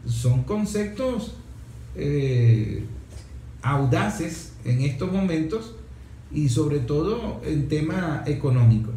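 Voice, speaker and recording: Higher-pitched Venezuelan man hosting in an echoey room. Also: lively intonation, slow, mellow. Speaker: radio talk show host. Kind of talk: conversational radio talk about environmental issues. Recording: echoey room with background noise.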